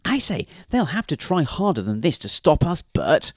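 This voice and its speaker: Man doing a cheeky, high-pitched greedy seagull. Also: sing-song, normal speed, dry recording, clean studio sound. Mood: mocking.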